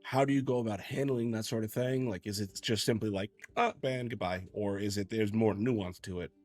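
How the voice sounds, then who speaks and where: Relaxed man hosting an interview. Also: on the low side, brisk, faint music behind. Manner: relaxed, brief acknowledgments. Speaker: interview host. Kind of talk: casual interview chat.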